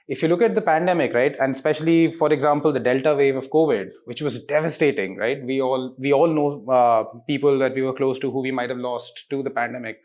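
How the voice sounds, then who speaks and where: Man in his thirties, higher-pitched voice. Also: darkish tone. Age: 30s. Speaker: interviewed diagnostics company representative. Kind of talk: explanatory interview answers.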